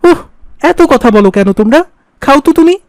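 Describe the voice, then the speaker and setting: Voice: sits high, animated, normal speed, no room sound, studio quality, soft background music. A performer playing a sulky, mischievous, impatient little boy in a cartoon. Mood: sulky, irritated. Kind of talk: cartoon dialogue.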